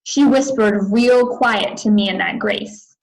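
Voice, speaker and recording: A woman reading a children's chapter book aloud in an expressive narrating style. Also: mid-range voice, slow pace, rough recording. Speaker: read-aloud reader.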